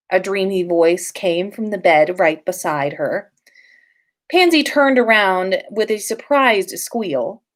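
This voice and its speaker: A woman reading a story aloud expressively, with drawn-out emphasis. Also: mid-range voice. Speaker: podcast host reading fan fiction.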